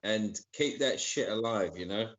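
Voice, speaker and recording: A man's voice: fairly low pitch, unhurried, a bit bright. A man in his fifties hosting a podcast, talking with rambling enthusiasm in a casual pub-style chat. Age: 50s. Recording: dull lo-fi line with faint noise.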